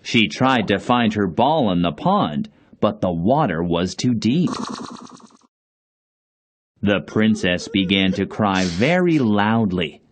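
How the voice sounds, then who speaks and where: A man's voice: on the low side, lively intonation, slow, a little hiss or hum. A man reading scripted fairy-tale narration for children as storyteller.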